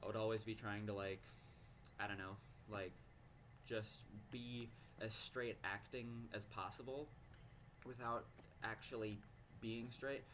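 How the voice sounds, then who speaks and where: A fifteen-year-old boy from Minnesota with a low voice, telling a personal story to camera and hesitating often with ums and likes. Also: fairly even intonation, measured pace, a bit bright, slight background noise. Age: teens. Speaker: teen vlogger.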